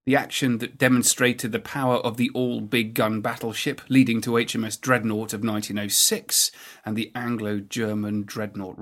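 British guy hosting a history podcast, medium pitch, scripted, measured and emphatic. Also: fairly bright tone. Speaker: podcast host.

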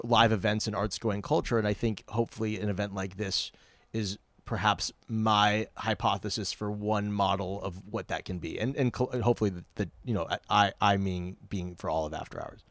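A man's voice: on the low side, fairly even intonation, moderate pace, fairly bright tone, no echo. A man guesting on a theater chat, earnest and thinking aloud with many restarts. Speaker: guest, theater company producer. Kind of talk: casual chat. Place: dark outdoor hollow at a theater venue.

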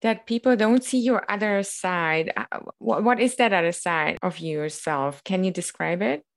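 A woman's voice: mid-range voice, unhurried, creaky. A woman hosting a podcast, asking curious questions and encouraging her guest with short backchannels. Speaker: podcast host, interviewer. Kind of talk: interview questions.

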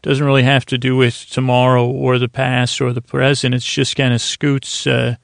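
A man with a creaky, gravelly voice hosting a sleep podcast, giving a halting, meandering, soothing bedtime monologue full of digressions. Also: mid-range voice, monotone, no echo, studio quality. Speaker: podcast host. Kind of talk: rambling bedtime monologue for falling asleep.

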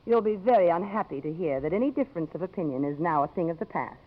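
An actress playing a proud, defiant, sharp-tongued woman in a 1950s radio drama. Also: lowish voice, animated, quick pace. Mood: defiant, triumphant. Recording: dull vintage 1950s radio broadcast.

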